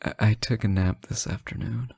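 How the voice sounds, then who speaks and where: Guy playing a tired boyfriend on a late call, low creaky voice, teasing and sweet, audio roleplay. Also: steady tone, normal speed, no room sound, studio quality. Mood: tired, defensive. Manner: soft-spoken, hesitant, drowsy, gently joking. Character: sleep-deprived, teasing, affectionate boyfriend on late-night call. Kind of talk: intimate audio roleplay, one side of a phone call.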